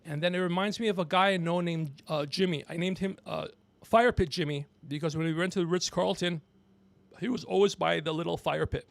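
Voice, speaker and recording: A man's voice: fairly high pitch, brisk, creaky, no echo. A man hosting a livestream in a rambling, casual, joking way, chatting to someone off mic as he goes. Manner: rambling, joking, self-promoting, talks to off-mic crew member. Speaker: podcast host and comedian. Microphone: podcast microphone with headphones.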